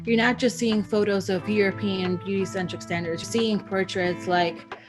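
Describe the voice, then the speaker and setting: A woman's voice: mid-range voice, steady tone, normal speed. A woman from El Salvador, a street artist and photographer, speaking earnestly in an interview with frequent ums. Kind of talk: interview talk. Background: guitar music.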